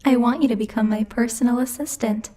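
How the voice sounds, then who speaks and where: A woman's voice: on the high side, a little fast, warm tone, natural voice. A woman voicing a bossy, teasing female demon who rules hell, speaking in a haughty, playfully menacing ASMR roleplay style. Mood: persuasive, earnest. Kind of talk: ASMR roleplay, intimate scripted monologue to the listener.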